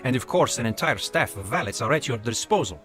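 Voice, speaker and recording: A man acting a grandiose concierge at a luxury hotel in a radio play. He is formal and fawning, in a medium-pitched voice. Soft music sits behind him. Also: lively intonation, fast talker, lightly acted. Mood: fawning, proud.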